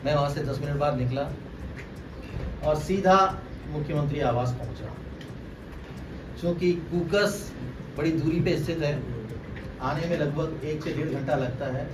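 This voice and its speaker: Echoey, higher-voiced man giving deliberate statement. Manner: deliberate, emphatic, repeats phrases for stress. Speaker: former political aide making a public statement. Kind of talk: press conference statement. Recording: distant mic, echoey room, clearly noisy.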